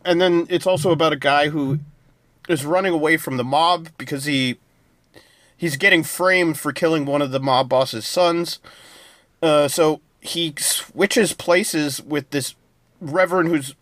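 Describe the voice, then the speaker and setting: A man's voice: on the high side, a little slow, a bit bright, no room sound. A man co-hosting a casual TV review show, rambling through plot summaries with plenty of fillers. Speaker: podcast co-host.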